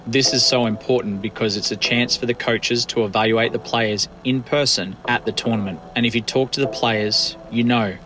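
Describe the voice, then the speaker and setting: A man's voice: medium pitch, fairly even intonation, normal speed, few pauses, poor audio. A man, a tournament official, explaining things earnestly in an interview. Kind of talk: documentary interview.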